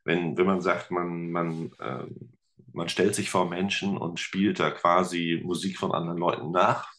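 A man with a Ruhr German accent, a teacher and musician, telling stories in a relaxed, chatty podcast interview with lots of fillers. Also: low voice, a little slow. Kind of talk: casual interview chat.

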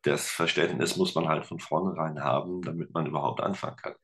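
A man's voice: very deep voice, brisk, a crowd in the background. A man guest on an interview podcast, chatting casually and explaining things with lots of fillers. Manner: relaxed, explains with many fillers. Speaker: podcast guest, teacher and guitarist.

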